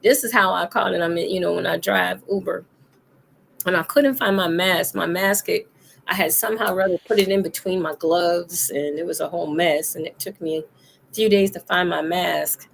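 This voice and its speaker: A woman chatting casually as a co-host, her voice made deep and hoarse by a cold, talking loosely with many pauses and laughs. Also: a little hiss or hum. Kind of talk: casual chat.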